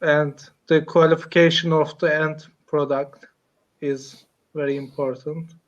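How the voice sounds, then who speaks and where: A man with a Turkish accent speaks English slowly and haltingly, with a somewhat high voice. He fills gaps with "uh" as he searches for words. The call audio is dull and muffled. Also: flat delivery. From Turkey. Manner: hesitant, many fillers, searching for words. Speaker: English learner, computer engineer. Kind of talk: casual online English lesson chat. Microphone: video call.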